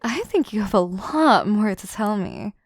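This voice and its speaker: A woman's voice: medium pitch, big pitch swings, gravelly, full character voice. A woman playing an obsessive, scheming yandere assistant in an audio roleplay, speaking in formal, theatrical phrases to the listener. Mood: threatening. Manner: theatrical, formal phrasing, slow and deliberate, dropping into whispers. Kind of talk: scripted audio roleplay, intimate monologue to listener.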